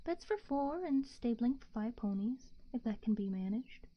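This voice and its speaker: Woman as a polite young hobbit, quiet and whispered; lo-fi sound. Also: a little high, animated, moderate pace. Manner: soft whisper. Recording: muffled lo-fi audio.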